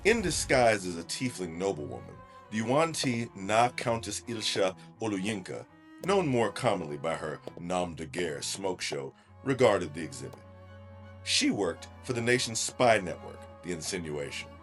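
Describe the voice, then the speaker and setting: A man narrating a scripted fantasy audio drama as a measured, theatrical storyteller. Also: low-pitched, very animated. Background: music.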